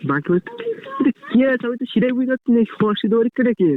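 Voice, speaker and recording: A man's voice: very high voice, very fast. A young man, chatting on the phone in a flustered, plaintive, repetitive way. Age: young adult. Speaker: friend receiving a prank call. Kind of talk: casual phone chat. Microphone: phone line. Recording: tinny, phone-like call audio.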